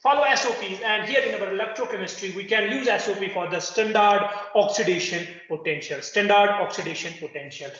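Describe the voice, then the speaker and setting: A man's voice: high voice, fairly quick, few pauses, fairly bright tone. A young man teaching chemistry at a whiteboard to a class, repeating key terms and quizzing his students. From Kargil. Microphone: far camera. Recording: echoey room, dull lo-fi sound.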